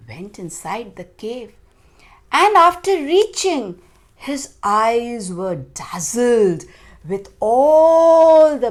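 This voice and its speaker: A woman narrates a story for children at an extremely slow, deliberate pace. Her pitch is lively and varied, at a middle height overall. She phrases dramatically and leans on key words.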